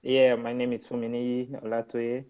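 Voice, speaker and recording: Dark-voiced Nigerian man, thoughtful panelist. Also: medium pitch, completely flat delivery, normal speed, clear, resonant tone, highs cut off. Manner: hesitant, reflective, many fillers. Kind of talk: panel discussion.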